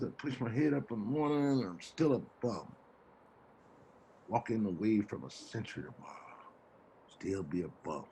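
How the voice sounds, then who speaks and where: An actor playing an old homeless street man, wry and weary, rambling colloquially in a play reading. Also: medium pitch. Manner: colloquial, musing, drawn-out repetitions. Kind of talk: dramatic table reading of a play. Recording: faint room noise, video call.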